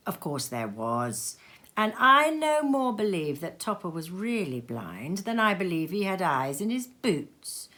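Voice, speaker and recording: A woman narrating a storybook expressively, with dramatic, deliberate emphasis. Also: on the low side, pushed and bright. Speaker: storybook reader.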